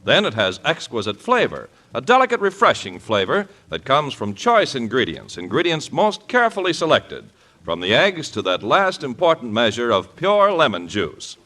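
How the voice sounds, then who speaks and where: A man delivers a polished 1940s radio sales pitch with emphatic care. His bright voice rises and falls with great animation around a middle pitch. It has the sound of a vintage broadcast advertisement. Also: huge pitch swings, moderate pace. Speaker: commercial announcer.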